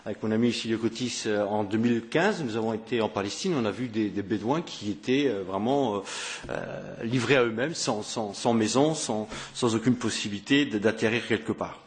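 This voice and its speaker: A man hosting a press conference, speaking in a halting way with many fillers and repeated words. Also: on the low side. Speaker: press conference host, member of parliament. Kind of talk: hesitant spoken welcome speech. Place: press room.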